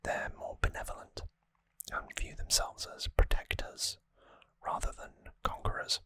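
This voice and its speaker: Hushed ASMR whisperer, close and dry. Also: dry recording. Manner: unhurried whispering with hesitant pauses between short phrases. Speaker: ASMR host. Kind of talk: whispered ASMR trivia recap. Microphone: close microphone.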